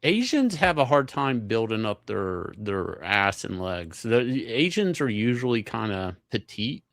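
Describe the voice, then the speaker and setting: A man with a lively, swooping delivery and a close, dry sound, doing reaction commentary as a co-host. He talks casually in slang and swears freely. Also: on the low side, unhurried, dry recording. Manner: profane, slangy. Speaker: livestream co-host.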